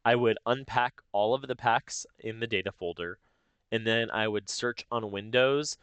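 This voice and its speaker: Low, clear-voiced man giving a software tutorial. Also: normal speed, no echo. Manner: informal, explanatory, thinking aloud. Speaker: tutorial host. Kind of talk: casual step-by-step software tutorial narration.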